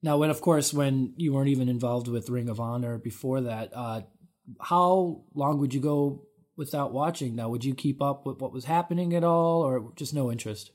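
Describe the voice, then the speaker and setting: Man, mid-pitched voice, upbeat podcast co-host, reading out listener questions. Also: moderate pace, a little mellow. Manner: upbeat, reads out questions, plugs the website. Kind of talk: casual interview chat.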